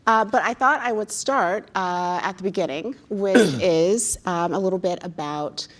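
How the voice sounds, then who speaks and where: Woman host in middle age, lowish voice, steady questioning broken by frequent "uh"s. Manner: hesitant, many "uh" fillers, measured questions. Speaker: interviewer, fashion critic. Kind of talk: hosted live interview.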